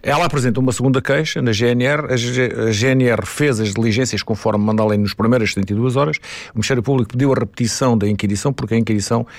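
A man with a European Portuguese accent, explaining legal points in a radio interview, measured and full of restarts. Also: medium pitch, steady tone. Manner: measured, many restarts and fillers. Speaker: crime commentator.

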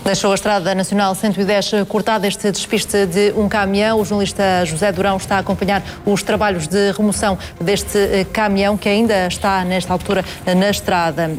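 A woman with a European Portuguese accent reading news as a studio anchor in a steady, scripted delivery. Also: mid-range voice, fairly quick, few pauses, slight background noise.

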